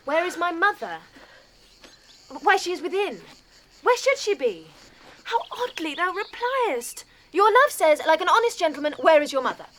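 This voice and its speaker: Impetuous lovestruck girl, high and swooping. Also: big pitch swings, normal speed, fairly bright tone, exaggerated voice, low-quality recording. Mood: excited. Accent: British English. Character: Juliet, lovestruck impatient teenage girl, eager and impetuous. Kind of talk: classical Shakespearean audio drama acting.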